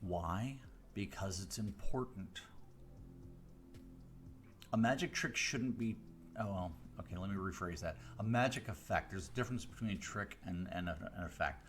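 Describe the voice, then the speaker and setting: Low-voiced chatty man on a close mic. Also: a bit bright, faint music behind. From Chicago area, US. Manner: rambling, with many fillers and restarts; deliberate pauses, slowing down for effect. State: voice deeper than usual today. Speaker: mentalist hosting a live stream. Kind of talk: casual chat answering viewer questions. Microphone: close studio microphone.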